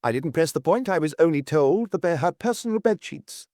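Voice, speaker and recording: A man reading as a diligent policeman in an audiobook crime story. The voice is pitched up and methodical, laying out details thoroughly, with a very dry sound. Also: expressive, fairly quick, a little mellow, no echo, natural voice. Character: diligent male police officer giving a thorough report.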